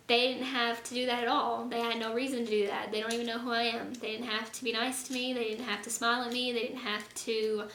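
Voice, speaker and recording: A young woman with a hoarse, fading voice, talking earnestly and rambling a little in a casual faith vlog. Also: fairly high pitch, a bit bright. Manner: earnest, rambling, lots of fillers. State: hoarse, losing her voice. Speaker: vlogger. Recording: echoey room, faint noise.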